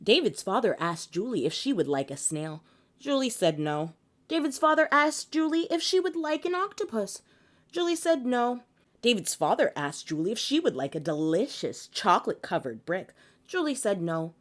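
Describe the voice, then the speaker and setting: A woman reading a children's picture book aloud in an animated, theatrical, expressive way. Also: mid-range voice, normal speed. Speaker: children's story reader.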